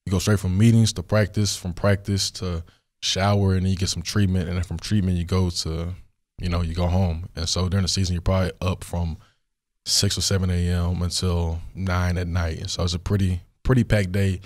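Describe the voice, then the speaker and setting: A young man with a hoarse, worn voice, hosting a solo question-and-answer podcast in a studio, talking in a relaxed, rambling way with many fillers. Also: low-pitched, fairly quick. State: hoarse, recovering voice. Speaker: podcast host.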